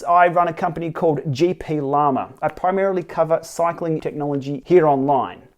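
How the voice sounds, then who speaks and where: Middle-aged aussie bloke at his desk, earnest but wry, half reading a script to camera, close mic. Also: on the high side. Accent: Australian. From Australia. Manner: earnest and wry, lively emphatic delivery with dry asides. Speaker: cycling tech YouTuber. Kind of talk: scripted to-camera explainer, partly read. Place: small home studio with city backdrop, indoors.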